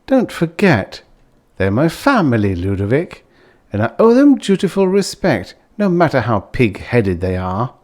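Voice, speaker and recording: A man voicing a gentle, dutiful young woman in an audiobook story, fond and a little wry. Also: mid-range voice, huge pitch swings, a little slow, pitched above the performer's own voice. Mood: gently reassuring.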